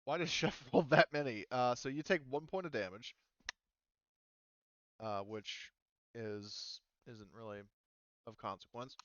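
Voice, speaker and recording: A man running a tabletop roleplaying game as game master, talking casually and haltingly as he thinks through the rules aloud. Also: medium pitch, steady tone, fairly bright tone, no echo, lo-fi sound. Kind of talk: casual tabletop game refereeing over online session.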